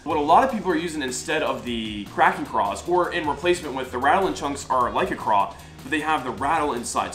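A man's voice: mid-range voice, bright, forceful tone, roomy sound. A young man casually vlogging, upbeat and chatty, laughing often as he talks through a shopping spree. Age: young adult. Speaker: fishing vlogger. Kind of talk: casual excited online shopping vlog. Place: living room, indoors.

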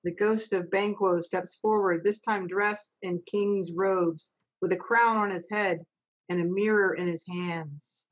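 A woman's voice: on the low side, fairly even intonation. Middle-aged woman reading stage directions. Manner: brisk, practical, coaxing the young readers along. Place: home office. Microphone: laptop mic on a video call. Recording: dull lo-fi video call audio.

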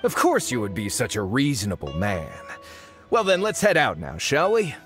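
An actor playing a smooth, slippery male foreign researcher in a game, glib and persuasive. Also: mid-range voice, huge pitch swings, normal speed, fairly bright tone, faint music behind.

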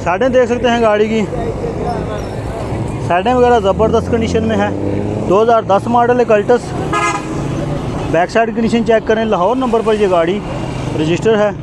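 High-voiced man doing car walkaround. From Pakistan. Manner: brisk, repetitive salesman patter. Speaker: used-car video host. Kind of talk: walkaround car sales commentary. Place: outdoor roadside car bazaar. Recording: noisy street outdoors.

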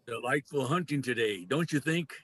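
An older man playing a genial, unhurried, teasing male walrus in a play reading. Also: medium pitch, expressive, fairly bright tone, breathy edge. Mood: unhurried amusement.

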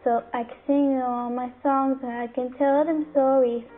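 A woman singing a slow, soft pop song cover. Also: high-pitched, little pitch movement. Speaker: cover singer. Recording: tinny phone-like sound with faint backing music.

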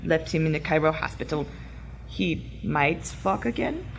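A woman's voice: low voice, expressive, a little slow, vocal fry, a little hiss or hum, heavily acted. Woman acting a haughty villainess. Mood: coldly gloating. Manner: imperious, cold, formal. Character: haughty, ruthless German Nazi villainess, archaeologist. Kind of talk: pulp adventure audio drama.

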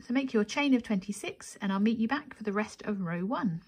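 A woman's voice: medium pitch, lively intonation, a little fast, slightly rough. A woman narrating a step-by-step craft tutorial as the instructor, methodical and counting steps aloud.

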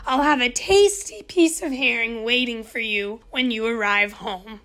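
A woman voicing a cheerful, inventive older wife in a storybook reading, bright and resourceful. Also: fairly high pitch, unhurried, rough recording.

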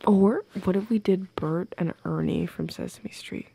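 A young woman in an intimate girlfriend audio roleplay, speaking softly and close to the mic with playful, teasing affection. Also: lowish voice, a little slow, gravelly, breathy edge, no room sound. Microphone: close microphone, dry.

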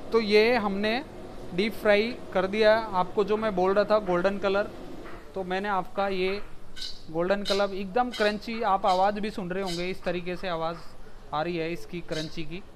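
A man's voice: high-pitched, steady tone, moderate pace, background noise. Male chef, thirties, patient step-by-step explainer, lapel mic, commercial kitchen, faint frying sounds. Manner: explains step by step, mixing English words into Hindi. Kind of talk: cooking demonstration.

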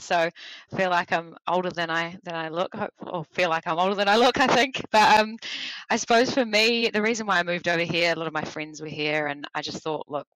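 A young woman with a New Zealand accent, a recruitment consultant chatting upbeat and fast on a video call through a headset mic. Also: on the low side, a bit bright, clear, resonant tone, no echo, rough recording. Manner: chatty and upbeat, quick asides and restarts. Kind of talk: casual interview chat. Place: small home office, indoors.

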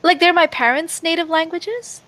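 A young woman from Canada with a soft voice, an audiobook narrator chatting eagerly in a video call interview, giggling often, speaking into a headset mic in a small room. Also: very high-pitched, moderate pace. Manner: giggly, eager, repeats yes and like.

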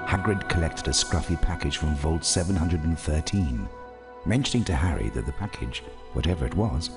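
A man's voice: a bass voice, expressive, moderate pace. A man reading scripted storybook narration as a game's narrator. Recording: long reverb over music.

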